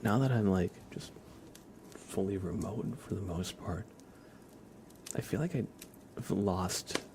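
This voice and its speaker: A man hosting an ASMR livestream, chatting softly and casually in a hushed, unhurried way that often slips into whispering. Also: low-pitched, noisy background. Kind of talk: soft ASMR livestream chat.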